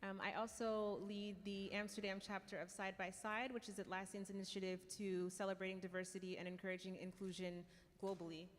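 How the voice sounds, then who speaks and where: A woman's voice: mid-range voice, flat delivery, brisk. A woman with an American accent, introducing herself casually as a panelist. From United States. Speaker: community manager on a panel. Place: conference stage in a large hall. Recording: echoey conference hall PA.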